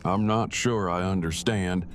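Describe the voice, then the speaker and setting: A man playing an awkward, earnest, bookish survivor in an audio drama, speaking in formal, precise, wordy sentences with a deadpan delivery. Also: deep voice, measured pace, a little hiss or hum. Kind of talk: fan audio drama acting.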